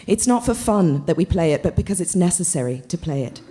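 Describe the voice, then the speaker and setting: Bossy, zealous girl of thirteen, read by a woman, solemn and pious, mellow tone, for a literary story. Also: lowish voice, expressive, a little fast, natural voice. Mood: solemn, insistent. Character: bossy, zealous thirteen-year-old girl playing preacher.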